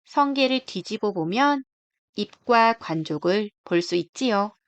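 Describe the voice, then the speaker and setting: A woman's voice: mid-range voice, lively intonation, measured pace, no room sound. A woman narrating a scripted children's nature book in a careful, explanatory read-aloud way. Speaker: storybook narrator.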